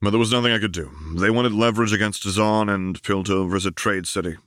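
A man's voice: on the low side, steady tone, fast, fairly bright tone, no room sound, clean studio sound, natural voice. A man playing a weary, anguished man of about forty, earnest and defensive, in an audiobook story. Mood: defensive, frustrated.

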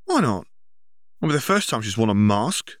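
A man's voice: on the low side, very animated, moderate pace, dry recording, studio quality, pitched above the performer's own voice. A man voicing a sullen, resentful boy who argues defensively in a story reading. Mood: resentful, indignant. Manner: defensive, indignant. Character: sullen, resentful young boy arguing with siblings.

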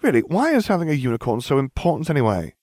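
A man's voice: medium pitch, sing-song, fast, a little mellow, dry recording, studio quality, voice pushed up. A man voicing a prim, fretful young schoolgirl with an indignant, scolding manner in an audiobook. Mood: snappish.

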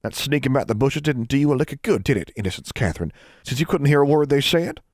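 A man voicing a stern, folksy great-grandmother with an Ozark accent, scolding in a high drawling voice. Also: expressive, quick pace, dry recording, clean studio sound, lightly acted. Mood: mocking. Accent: Ozark rural American. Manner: scolding, drawling, high put-on old-woman voice. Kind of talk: audiobook character voice.

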